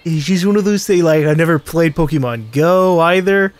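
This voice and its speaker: A man's voice: a little high, normal speed, faint music behind. A man hosting a casual gaming session, laid-back and teasing, laughing easily. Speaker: host.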